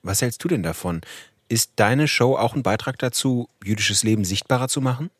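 A man hosting a podcast, asking measured questions and reading a composed closing. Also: mid-range voice, breathy edge, no echo, clean studio sound. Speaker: podcast host. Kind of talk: interview questions and scripted wrap-up.

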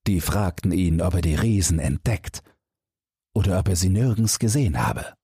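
A man narrating a fairy tale audiobook for bedtime in a measured, expressive storytelling manner. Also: low voice, husky, no room sound, clean studio sound.

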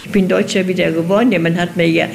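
An older woman from Germany recalling her life in an interview, halting and often repeating herself. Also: fairly low pitch, steady tone, creaky. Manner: halting, repeats words and restarts. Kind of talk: recorded interview, personal recollection. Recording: noisy archival interview recording.

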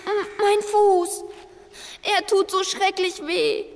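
A very high girlish voice, in a children's adventure radio play. The girl is small and sweet, with a plaintive, questioning way of talking. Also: takes it slowly, no room sound. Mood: sorry, complaining. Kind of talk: children's audio drama acting.

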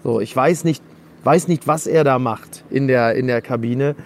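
A man's voice: mid-range voice, moderate pace, darkish tone, a little hiss or hum. A man co-hosting a football podcast, giving his views in casual, conversational talk.

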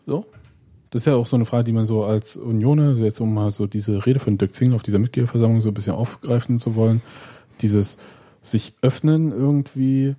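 A man's voice: mid-range voice, mellow. A man hosting a podcast, chatting casually in conversational German.

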